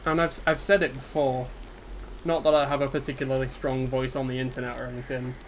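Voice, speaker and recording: Downbeat guy ranting off the cuff, lots of ums, pretty monotone, steady hiss behind him. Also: mid-range voice, brisk, low-quality recording. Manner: subdued, dejected and hesitant, with frequent ums. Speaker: gaming video creator. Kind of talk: unscripted solo rant to camera. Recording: steady background ambience hiss.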